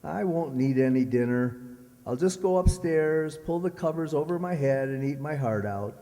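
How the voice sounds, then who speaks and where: An actor playing a sulky, jealous, self-pitying husband with a plaintive, halting delivery in radio comedy style. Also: male voice, on the high side, expressive, mellow. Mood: needy, wounded. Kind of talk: old-time radio comedy acting, re-created. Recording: echoey hall, long reverb.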